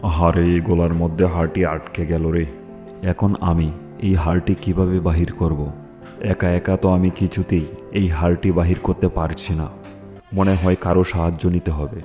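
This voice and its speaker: A man's voice: deep voice, flat delivery, moderate pace, pitched below the performer's own voice, natural voice. A man voicing a cunning, wheedling lion in a fable cartoon. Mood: troubled. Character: cunning male lion with a bone stuck in throat. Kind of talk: children's fable cartoon dialogue. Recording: loud music under the voice.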